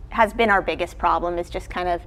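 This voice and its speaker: A woman's voice: lowish voice, brisk, fairly bright tone. A woman who coaches college sport, answering interview questions in a casual, conversational way. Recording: clearly noisy background ambience.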